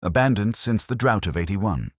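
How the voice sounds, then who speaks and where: A man's voice: on the low side, expressive, moderate pace, no room sound, clean studio sound, pitched above the performer's own voice. A man voicing a thin, uneasy aristocratic landowner in an audiobook, formal and guarded.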